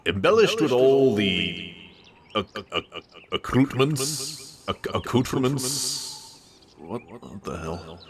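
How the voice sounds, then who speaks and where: A man reading a comic story aloud in a theatrical, expressive narration with wry delivery. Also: mid-range voice, slow pace, distant mic, a crowd in the background. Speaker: story reader.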